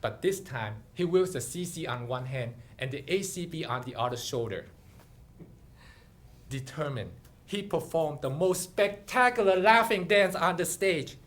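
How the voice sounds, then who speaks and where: A man giving a dramatic storytelling speech, with theatrical, deliberate phrasing. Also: a little high, a bit bright, a little hiss or hum. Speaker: club speaker telling a story.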